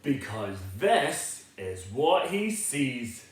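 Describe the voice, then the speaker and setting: A man's voice: medium pitch, big pitch swings, extremely slow. A man reading a children's picture book aloud in a theatrical, sing-song, inviting way. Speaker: storybook reader. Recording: very echoey, reverberant room.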